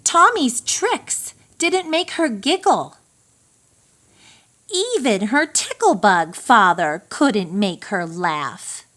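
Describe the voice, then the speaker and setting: A woman reading a children's picture book aloud in an expressive, deliberate storytelling manner for young listeners. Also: medium pitch. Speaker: storybook reader.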